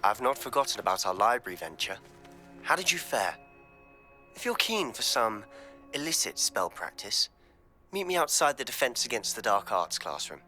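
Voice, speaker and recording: A man's voice: medium pitch, sing-song, normal speed, pushed and bright, faint music behind. An actor playing a sly, conspiratorial male student, delivering a secretive invitation in a fantasy game. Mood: sly, inviting. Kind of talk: game character message.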